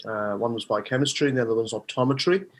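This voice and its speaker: A middle-aged Welsh man talks as a podcast guest in a mid-range voice. He speaks thoughtfully and hesitantly, pausing on fillers as he searches for words. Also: medium pitch. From Wales. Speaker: guest, mind coach. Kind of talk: conversational interview.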